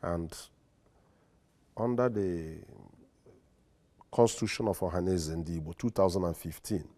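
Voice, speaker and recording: A man with a Nigerian accent, a lawyer and guest on a studio interview, speaking in a formal, deliberate and emphatic way. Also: deep voice, dark tone. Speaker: guest, lawyer and secretary general.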